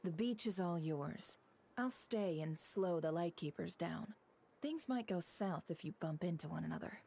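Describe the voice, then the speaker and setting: A woman playing a shrewd, composed strategist in a game, speaking in a deliberate, articulate, analytical manner. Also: on the low side, expressive, creaky, dark tone, breathy edge, full character voice. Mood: calm, assured. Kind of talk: scripted game dialogue.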